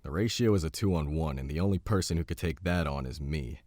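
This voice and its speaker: An actor playing a gruff, crude, cocky war-veteran gunman who talks bluntly and boastfully in an audio drama. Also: very deep voice, fairly quick, darkish tone, dry recording. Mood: grim, blunt.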